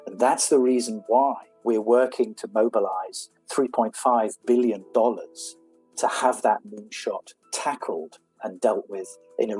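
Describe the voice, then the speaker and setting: Calm man explaining vaccine plans. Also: mid-range voice, husky, poor audio. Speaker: vaccine program head. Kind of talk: interview clip.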